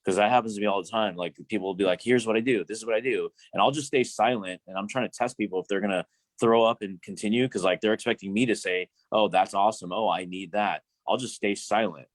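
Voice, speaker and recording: A man's voice: fairly low pitch, fast talker, no room sound, low-quality recording. A man hosting a live coaching Q&A, talking casually in a blunt, profane, rambling way with many restarts.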